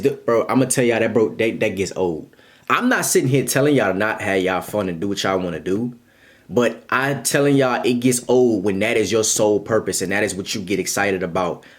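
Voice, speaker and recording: A man in his twenties giving blunt, repetitive life advice straight to his viewers in a casual monologue. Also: fairly low pitch. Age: 20s.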